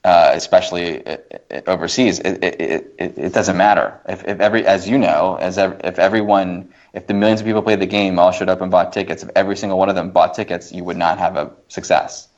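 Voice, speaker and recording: A man's voice: low-pitched, fairly even intonation, fast, few pauses. A man guesting on a casual film podcast, arguing his opinions with emphasis and repeated words. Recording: dull, lo-fi voice track.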